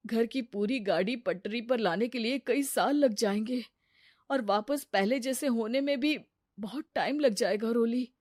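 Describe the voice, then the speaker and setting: A woman voicing a mild, supportive young husband in a measured, serious manner in a story. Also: a little high, a little mellow, no echo, studio quality. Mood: worried.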